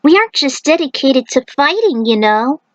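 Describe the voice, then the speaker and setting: Woman voicing a high-pitched, eager young monk. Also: very animated, normal speed, no room sound, dull audio. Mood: proud. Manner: eager, proud, emphatic. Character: enthusiastic, proud young male martial arts monk.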